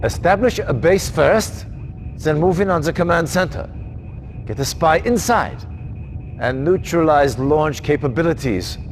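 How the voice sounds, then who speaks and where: A stern Allied commander. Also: male voice, a little high, lively intonation, unhurried, faint music behind. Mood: commanding. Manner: clipped, authoritative orders. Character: stern male Allied commander giving briefings. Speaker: military briefer. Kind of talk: game cutscene acting.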